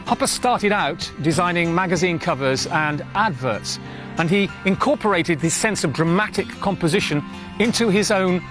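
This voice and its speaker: A man teaching painting and presenting outdoors on a windswept coast, explaining at length with drawn-out emphasis. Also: on the high side.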